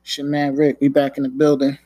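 A man's voice: mid-range voice, monotone, brisk, warm tone, no echo. A man in his thirties from Long Island with a New York accent, hosting a casual solo podcast from home and rambling with lots of filler. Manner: laid-back, rambling, lots of filler and "you know what I'm saying". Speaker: podcast host. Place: home room, downstairs.

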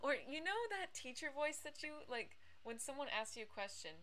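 A young woman co-hosting a casual podcast, chatty and quick to agree with her friend. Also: high voice, very animated, pushed and bright. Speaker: podcast co-host. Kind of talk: casual chat.

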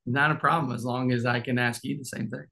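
A man's voice: mid-range voice, steady tone, fast, creaky, bright, forceful tone. A man in his twenties, a podcast guest, talking in an easygoing, joking way. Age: 20s. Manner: easygoing, joking, laughs often. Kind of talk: casual interview chat.

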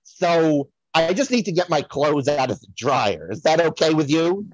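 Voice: mid-range voice, animated, normal speed, a loud crowd, close to an everyday voice. Scatterbrained celebrity psychic, played by an actor, theatrical and rambling, swooping intonation, slightly muffled lo-fi sound. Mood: chatty, distracted. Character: scatterbrained, self-promoting psychic to the stars, easily distracted. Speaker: psychic guest on a podcast. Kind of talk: comic improvised audio sketch.

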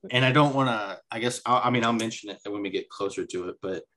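A man's voice: fairly low pitch, fast talker, bright, forceful tone. A man co-hosting a podcast, eagerly chatting about movies with frequent restarts. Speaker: podcast co-host. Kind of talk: casual movie chat.

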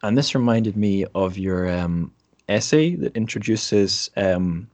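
A man's voice: fairly low pitch, slow pace, darkish tone. A man hosting a podcast, chatting casually and informally through an interview about books, with asides as he explains. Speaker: podcast host.

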